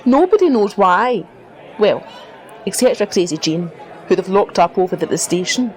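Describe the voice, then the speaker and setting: A woman's voice: mid-range voice, lively intonation, moderate pace, a faint crowd, lightly acted. A woman playing a gossipy, bossy small-town server with a dry manner in an audio drama. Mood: gossipy, hushed news.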